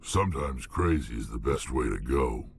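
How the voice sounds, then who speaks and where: An actor playing a gruff, loyal alien warrior in a video game, speaking tersely in a gravelly voice. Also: male voice, rumbling low, expressive, moderate pace, slight background noise, full character voice. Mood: steady, loyal. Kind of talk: video game cutscene acting.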